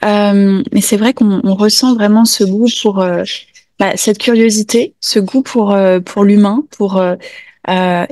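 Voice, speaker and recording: A woman's voice: medium pitch, a little mellow, no room sound, studio quality. A woman hosting a casual, reflective podcast interview, meandering as she searches for her words.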